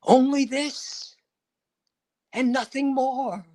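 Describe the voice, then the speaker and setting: Very slow, high-pitched theatrical woman reciting poetry. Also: steady tone, warm tone. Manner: halting, drawn-out phrasing with heavy dramatic pauses. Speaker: performer at a live dramatic reading.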